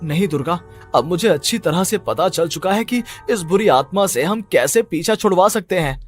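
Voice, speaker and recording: Male actor as panicky male writer, high voice, agitated repetition, horror cartoon drama, background music. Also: expressive, moderate pace. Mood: resolute. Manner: agitated, repeats himself in disbelief. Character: distraught, panicky male writer haunted by spirits.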